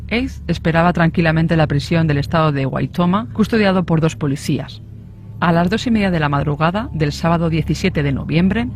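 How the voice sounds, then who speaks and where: High-pitched male true-crime narrator. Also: a little mellow, slight background noise.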